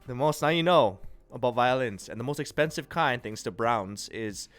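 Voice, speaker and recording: A congested, nasal-sounding man from the United States hosting a livestream, chatting casually and rambling through viewers' comments with a relaxed, self-deprecating manner. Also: mid-range voice, moderate pace, faint music behind. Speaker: livestream host. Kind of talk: casual chat reading and answering viewer chat.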